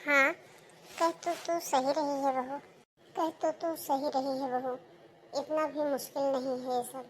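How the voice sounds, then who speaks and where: A woman's voice: high voice, steady tone, unhurried, a little hiss or hum. A woman voicing a greedy, demanding middle-aged mother-in-law in a cartoon. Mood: agreeing. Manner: demanding, grasping. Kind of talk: Hindi cartoon voice acting.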